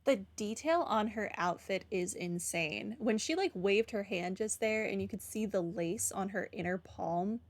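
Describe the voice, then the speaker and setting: A woman's voice: mid-range voice, a little slow, hardly any pauses, no room sound. A young adult woman streaming a video game, giving casual, chatty playthrough commentary. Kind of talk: casual playthrough commentary, reading game dialogue options aloud.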